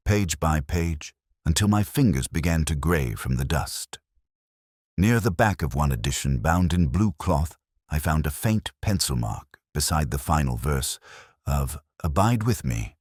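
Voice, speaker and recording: Male narrator for detective fiction: extremely low register, expressive pitch movement, measured and brooding, close dry sound. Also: slightly rough, no room sound. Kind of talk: scripted first-person detective story narration.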